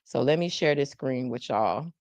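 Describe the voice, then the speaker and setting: A woman's voice: rumbling low, moderate pace, dry recording. A woman hosting a live news podcast, talking casually and playfully to her viewers in the chat. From South Florida. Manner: animated, playful, talks to the chat. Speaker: podcast host.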